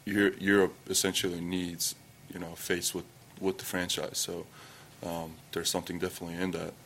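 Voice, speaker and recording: A young man from Estonia with an Estonian accent, answering press questions in halting English full of fillers and restarts. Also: little pitch movement, fairly bright tone, slight background noise. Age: young adult. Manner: halting, many fillers and restarts, low-key. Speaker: athlete at a press conference.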